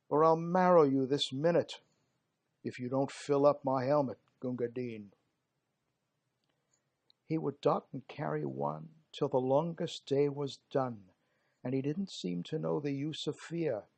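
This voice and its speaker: Man playing a creaky, theatrical old-time British soldier. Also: a little high, darkish tone. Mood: barking, urgent. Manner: theatrical, emphatic, dropped g's and slangy phrasing. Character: gruff working-class British soldier of colonial India, reminiscing. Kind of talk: dramatic poetry recitation.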